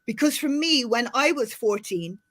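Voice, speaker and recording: A middle-aged woman from Ireland with a softened Irish accent, hosting a casual panel chat over a video call, talking in a chatty, animated way with many restarts. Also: fairly high pitch, moderate pace. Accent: Irish, softened by years in the UK. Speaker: host.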